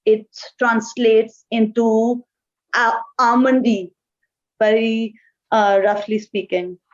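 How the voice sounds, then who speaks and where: A woman whose first language is Urdu speaks in a fairly high voice, answering interview questions over a call. She talks thoughtfully and often pauses with "uh". The audio is dull and lo-fi. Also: little pitch movement. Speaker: guest, marketing manager. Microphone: remote call.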